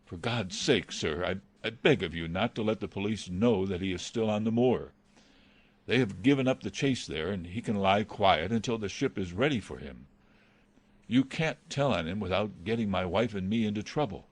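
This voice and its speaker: A man's voice: fairly low pitch, animated, normal speed. A man playing an anxious, loyal butler, hesitant, formal and respectful, in a dramatised reading of a classic novel. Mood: anxious pleading. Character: deferential, anxious male butler, pleading and loyal.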